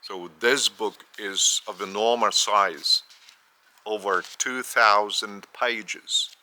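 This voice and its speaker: A man with a Lithuanian accent, whose first language is Lithuanian, giving a spiritual teaching in a measured way, often repeating and restarting phrases. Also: lowish voice, steady tone, bright, forceful tone. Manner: measured, with repetitions and careful restarts. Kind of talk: slow spoken teaching.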